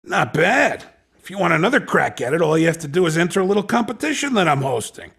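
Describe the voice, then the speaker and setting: A man voicing a slick, pushy underground race recruiter in a video game, with a raspy voice and cocky, insinuating salesman patter. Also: on the high side, big pitch swings, fast talker, a bit bright, exaggerated voice. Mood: sly, threatening. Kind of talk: video game character dialogue.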